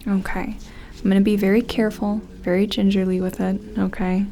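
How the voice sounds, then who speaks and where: A woman doing a gentle ASMR roleplay as a careful scientist examining an alien, speaking softly and often slipping into a whisper, hesitant and reassuring. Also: medium pitch, level tone, brisk, a little hiss or hum. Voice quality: soft, breathy, often dropping to a whisper.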